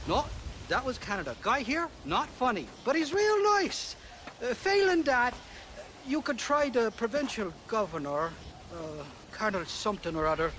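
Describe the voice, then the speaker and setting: An actor playing a merry, forgetful Irish rogue in a game cutscene, rambling and hesitant with chuckles. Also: male voice, extremely high pitch, big pitch swings, normal speed, a bit bright, slight background noise, full character voice. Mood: vague, merry. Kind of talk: video game cutscene acting.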